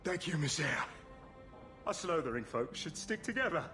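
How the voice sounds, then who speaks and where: Game casting: humble, desperate working-class family man, high-pitched and very animated, music underneath. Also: moderate pace. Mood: pleading, deferential. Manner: pleading, humble, plain-spoken working folk. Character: desperate, pleading refugee turned informant, a family man. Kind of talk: dramatic video game acting. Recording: music behind the voice.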